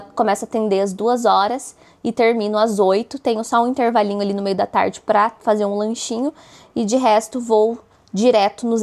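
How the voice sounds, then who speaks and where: A chatty woman with a hoarse, roughened voice talks casually to her followers, throwing in frequent tag questions. Her pitch sits at an ordinary middle height for a woman. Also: darkish tone. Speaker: vlogger. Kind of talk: casual chat to camera.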